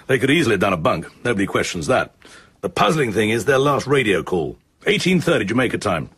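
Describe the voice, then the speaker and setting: Brisk, husky British chief of staff. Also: male voice, lowish voice, big pitch swings, a bit bright, dry recording, full character voice. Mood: puzzled, brisk. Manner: brisk, precise, informative. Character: efficient, level-headed male chief of staff, military officer. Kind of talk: radio drama acting.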